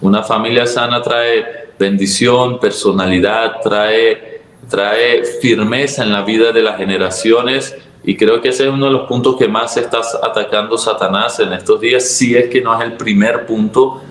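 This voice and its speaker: A man's voice: lowish voice, steady tone, normal speed, a bit bright. Venezuelan pastor guy, 30s, earnest testimony, echoey room sound. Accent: Venezuelan Spanish. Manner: earnest, reflective, preacherly. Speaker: pastor, guest. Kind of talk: testimony interview.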